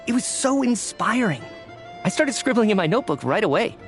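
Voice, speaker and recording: A man's voice: sits high, very animated, brisk, faint music behind. A man playing an earnest, apologetic, theater-loving writer in scripted video game dialogue, conversational and candid. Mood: enthusiastic.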